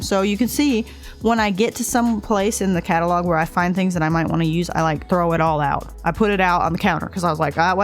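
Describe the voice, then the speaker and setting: A woman casually narrating a speed build of her game house, a little stuffed up from allergies, rambling and hesitant. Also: on the low side. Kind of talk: casual voice-over commentary. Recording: voice-over with faint music behind.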